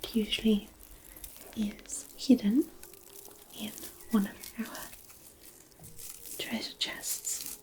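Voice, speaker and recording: A woman playing a gentle, kindly forest fairy in a soothing fantasy ASMR roleplay, murmuring softly and reassuringly. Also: mid-range voice, lightly acted. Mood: hushed, puzzled.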